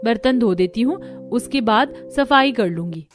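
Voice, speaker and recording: A woman voices a young bride in a cartoon family drama. She is high-pitched, polite and submissive, with a plaintive, hopeful way of talking over faint music. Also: animated, a little slow. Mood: meek, plaintive.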